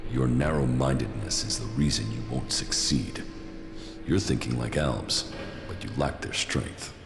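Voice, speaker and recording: A man playing a gruff, stoic exiled military commander in a video game, speaking tersely and sternly with a gravelly voice. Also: a little slow, echoey room, music in the background, full character voice. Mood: insistent, stern. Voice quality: deep gravelly voice. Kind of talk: video game cutscene acting.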